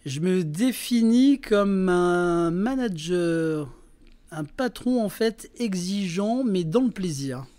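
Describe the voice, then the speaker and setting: Fifty-something man, slightly high voice. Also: animated, takes it slowly, dark tone. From northern France. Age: 50s. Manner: hesitant, many fillers and restarts. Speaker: podcast guest, entrepreneur and performer. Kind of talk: casual interview talk.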